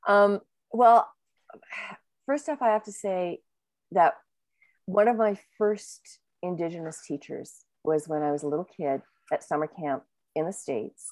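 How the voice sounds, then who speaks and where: A woman in casual conversation, recalling her experiences in a reflective, measured way. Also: lowish voice, fairly even intonation, darkish tone, no echo.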